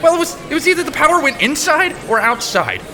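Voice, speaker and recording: A man's voice: very high voice, big pitch swings, fast, sharp tone, some chatter behind, heavily acted. A man playing a defensive, sheepish slacker making excuses in a comedic audio drama.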